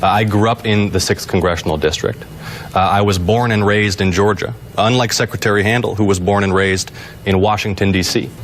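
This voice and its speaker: A young man answering in a debate as a candidate, measured, with hesitant fillers. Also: on the low side, fairly even intonation, a little hiss or hum. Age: young adult.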